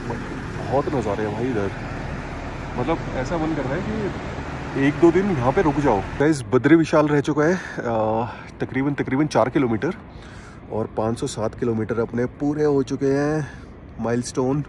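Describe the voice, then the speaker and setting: A man's voice: mid-range voice, measured pace. A man chatting casually and enthusiastically to viewers as a travel vlogger, mixing in English words. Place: outdoors on a mountain trip. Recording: outdoor noise with vehicle sounds.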